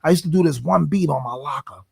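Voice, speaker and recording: A man's voice: fairly high pitch, quick pace, vocal fry, crowd noise. A man chatting casually and telling stories in a slangy, emphatic way, often repeating his phrases. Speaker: battle rapper, guest. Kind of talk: casual chat, storytelling.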